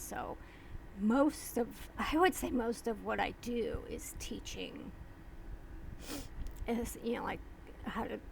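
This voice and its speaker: A woman vlogger talking casually and slowly, rambling with pauses and restarts. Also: a little high, creaky, slight background noise, poor audio. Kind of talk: casual talk to camera.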